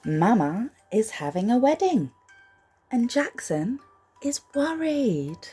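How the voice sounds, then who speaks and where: A woman reads a picture book aloud expressively, gently reassuring young listeners and putting questions to them. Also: a little high, big pitch swings, slow, slightly rough, faint music behind. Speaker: storybook reader for young children.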